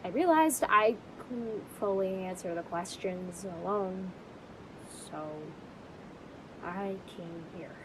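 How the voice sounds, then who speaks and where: A woman's voice: mid-range voice, takes it slowly, noisy background, lightly acted. An actress playing an anxious, self-deprecating woman in existential crisis, narrating a confessional radio comedy monologue with wry, dramatic delivery. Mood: deflated.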